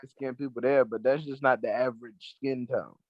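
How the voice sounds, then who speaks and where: A man's voice: mid-range voice, fairly even intonation, brisk, no echo, dull audio. A young man from the American South with a Southern accent, co-hosting a podcast and joking bluntly. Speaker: podcast co-host. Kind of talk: casual chat.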